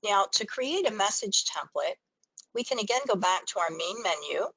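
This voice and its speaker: A woman's voice: medium pitch, expressive, normal speed, a bit bright, slightly rough, rough recording. A woman presenting a training webinar, explaining things step by step in an instructional screen-share style.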